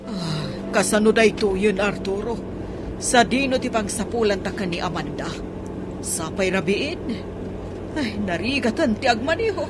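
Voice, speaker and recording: A woman's voice: medium pitch, expressive, moderate pace, over loud music, natural voice. A woman with an Ilocano Filipino accent playing an anxious, fretting older mother in a radio drama. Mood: worried. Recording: noisy vehicle ambience behind.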